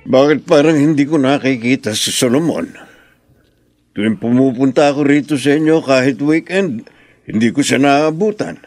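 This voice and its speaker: An actor playing a concerned older father with a Filipino accent in a radio drama, gently questioning and paternal. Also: medium pitch, moderate pace, faint music behind, lightly acted. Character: concerned older father, gently probing his grown daughter.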